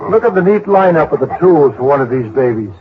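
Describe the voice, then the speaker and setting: A man's voice: on the high side, lively intonation, fast talker, lightly acted. Man playing helpful mechanic, earnest, plainspoken, old radio drama, thin phone-like sound, faint noise. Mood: earnest, speculating. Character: earnest, helpful male car-wreck mechanic. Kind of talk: 1950s-60s American radio drama acting. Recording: tinny, faintly noisy vintage radio recording.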